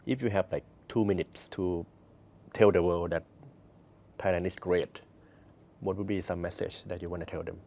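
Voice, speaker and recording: A male interview host asking questions in a relaxed, conversational way. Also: deep voice, normal speed, creaky, pauses often, highs cut off.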